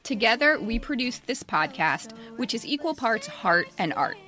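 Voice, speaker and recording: A woman's voice: fairly high pitch, very animated, normal speed, sharp tone. A woman in her fifties reading a scripted personal essay as a podcast co-host, with a candid, wry, self-deprecating manner. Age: 50s.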